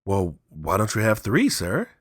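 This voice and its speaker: A man's voice: fairly low pitch, wildly swooping pitch, unhurried, no room sound, clean studio sound, voice pushed up, heavily acted. A man voicing a cheeky young adult son in a storybook reading, pert and confident.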